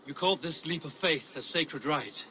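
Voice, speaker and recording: A proud Viking warrior in a video game cutscene. The male voice is forceful and slightly high, with a dry, skeptical, teasing manner and old-fashioned phrasing. Faint noise lies behind it. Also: normal speed. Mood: sincere.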